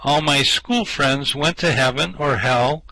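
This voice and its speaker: Hapless space castaway for radio comedy, played by a man: anxious Englishman, sharp forward voice, slow, plaintive delivery. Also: a little high, no room sound, exaggerated voice, poor audio. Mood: bewildered, glum. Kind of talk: comic science fiction radio play acting.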